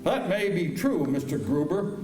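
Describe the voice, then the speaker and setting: A man playing a fair-minded western marshal in a staged radio drama, measured and firmly reasoning. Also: on the high side, lively intonation, darkish tone. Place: high school auditorium stage. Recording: echoey auditorium, distant mic, faint noise.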